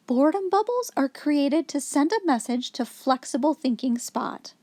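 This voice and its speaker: A woman with a high voice reads a picture book aloud to young children. Her intonation is very lively, rising and falling as she explains things in an instructive storyteller's way. The sound is dry and close, with little room in it. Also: normal speed, dry recording. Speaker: children's story reader, host.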